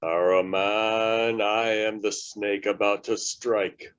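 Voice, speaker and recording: A man playing a grave old wizard in a table read, declaiming slowly and solemnly. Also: lowish voice, fairly even intonation, fairly bright tone, clean tone, no echo, muffled recording. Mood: proclaiming.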